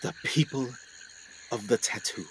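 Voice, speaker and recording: A man's voice: medium pitch, unhurried, slightly rough, poor audio. A man playing an English explorer doctor in a radio play, theatrical, full of awe and haunted brooding. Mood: awed, narrating. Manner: theatrical, breathless wonder, swings to hushed brooding.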